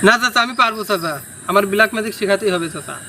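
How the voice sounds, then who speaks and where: A pleading, insistent young nephew in a horror tale, voiced by a man. He speaks high and fast, eager and stubborn. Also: noisy background. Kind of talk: horror story character voice.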